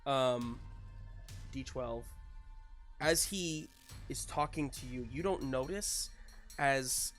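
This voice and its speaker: A woman game master narrating a live tabletop roleplaying game, thinking aloud in a halting way. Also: very deep voice, soft background music. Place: live online game stream.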